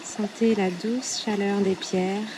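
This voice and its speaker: A woman leading a guided yoga nidra meditation in a soothing, unhurried way, with short phrases and long pauses. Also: medium pitch, fairly even intonation, noisy background. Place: outdoors at a riverbank. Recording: outdoor recording with loud running river water. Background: flowing, trickling stream water.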